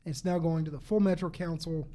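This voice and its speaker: A man's voice: on the high side, fairly even intonation, a little fast, warm tone, slight background noise. A man reporting on local news during a panel chat, wry and matter-of-fact.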